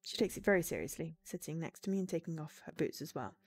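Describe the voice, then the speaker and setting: A woman's voice: low voice, expressive, fast talker. A woman streamer reading visual novel narration aloud, with game music behind her.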